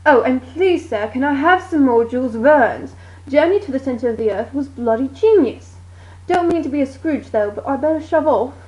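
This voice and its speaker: A woman's voice: high-pitched, lively intonation, normal speed, darkish tone, rough recording. A woman playing a jaunty, boastful Victorian English male novelist in a scripted puppet show. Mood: jaunty, self-satisfied.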